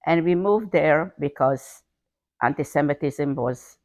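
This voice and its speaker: An older woman from Hungary, giving a halting personal account with frequent repeated words. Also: deep voice, a little mellow, no room sound, muffled recording. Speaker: interviewee. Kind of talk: personal testimony.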